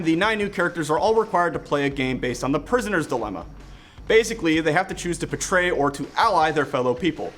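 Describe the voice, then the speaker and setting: A man's voice: a little high, a bit bright. A man narrating an enthusiastic scripted video game review. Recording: music playing behind the voice. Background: background music.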